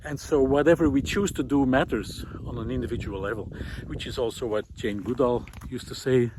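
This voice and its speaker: A man with a mid-pitched voice speaks over clear outdoor background noise, recorded while walking on a country road. He explains ideas reflectively and without a script. His delivery is halting and thoughtful, with frequent pauses as he forms each point. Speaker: host of a walking talk series. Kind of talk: unscripted reflective philosophical talk while walking.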